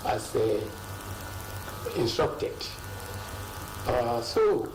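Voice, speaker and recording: An older man with a Nigerian accent, a linguistics professor speaking as a studio guest in a slow, measured way with many pauses. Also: a little high, darkish tone. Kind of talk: studio interview. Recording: roomy and a bit distant, faint noise.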